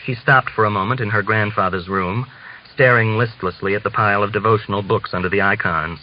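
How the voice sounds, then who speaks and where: A man reading a literary novel aloud as scripted narration, in a measured manner. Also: on the low side, fairly even intonation. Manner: measured, acts out dialogue. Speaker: audiobook reader. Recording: muffled lo-fi audio with clear hiss.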